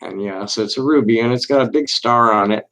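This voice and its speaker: A man hosts a casual solo livestream. He reads aloud with slow, deliberate pauses, and when he chats his talk is halting and full of restarts. His voice sits at an ordinary middle pitch. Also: fairly bright tone. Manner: halting and rambling, many restarts. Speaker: livestream host.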